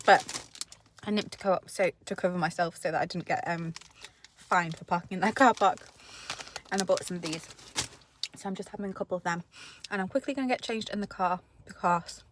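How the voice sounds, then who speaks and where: A woman's voice: fairly low pitch, noisy background. A young woman chatting candidly to camera in a casual diary-style vlog. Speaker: vlogger.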